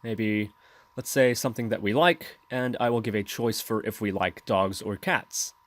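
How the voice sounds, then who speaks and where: A man's voice: lowish voice, steady tone, moderate pace, no echo. Man narrating a tutorial, close and dry. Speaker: tutorial host. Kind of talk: scripted software tutorial narration.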